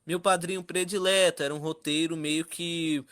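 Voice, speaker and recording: A man's voice: a little high, steady tone, moderate pace, fairly bright tone, clean tone. A Brazilian man in a casual roundtable discussion as one of the panelists.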